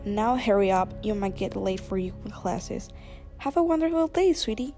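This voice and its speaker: A woman playing a caring, worried mother with a gentle, coaxing manner in an amateur radio drama. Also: medium pitch, lively intonation, normal speed, soft background music, natural voice. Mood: concerned.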